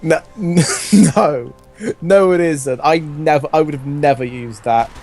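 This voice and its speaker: A man's voice: fairly high pitch, slow, darkish tone, soft background music. A man doing a casual comic read-aloud as a host, jokey and often breaking off from the reading to riff. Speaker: host reading fan fiction aloud while gaming.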